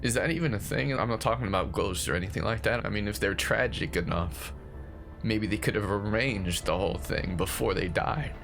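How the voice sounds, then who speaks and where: A man's voice: fairly low pitch, brisk, creaky, fairly bright tone, soft background music. A man playing an anxious, grieving older brother recording confessional horror voice notes, jittery, stammering and questioning himself. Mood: anxious, puzzled. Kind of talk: found-footage horror audio monologue, confessional voice notes.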